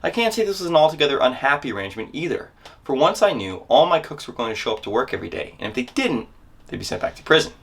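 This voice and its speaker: A man's voice: medium pitch, big pitch swings, fast, gravelly. Man performing a dramatic first-person monologue. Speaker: dramatic reader of a memoir.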